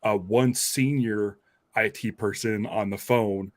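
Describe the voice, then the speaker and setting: A man's voice: lowish voice, steady tone, slow, a little mellow. A man hosting a podcast in casual, informal chat, telling things loosely and conversationally. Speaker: podcast host.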